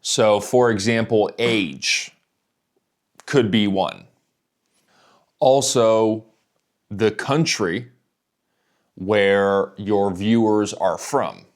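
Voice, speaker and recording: Very slow, deliberate male English teacher. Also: lowish voice. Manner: very slow and deliberate, repeating words for learners. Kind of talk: slow English listening lesson.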